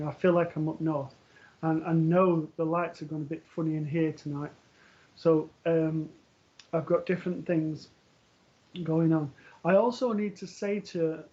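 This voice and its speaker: A man working as a medium, giving a live reading in a gentle, reassuring way, often pausing with ums and restarting sentences. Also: a little high. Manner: hesitant, full of ums and restarts. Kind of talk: live-streamed spiritual reading. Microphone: earpiece for live broadcast. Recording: dull lo-fi stream audio.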